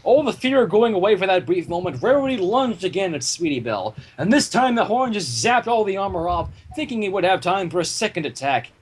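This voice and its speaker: A man narrating a fan-fiction story aloud in an animated storytelling style. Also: high-pitched, a little fast, few pauses, slight background noise.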